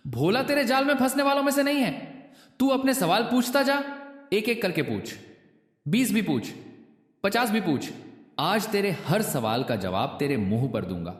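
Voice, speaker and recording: A man playing a clever, confident village hero in a children's cartoon, boastful and emphatic. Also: on the high side. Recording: reverberant echoey voice, faint music.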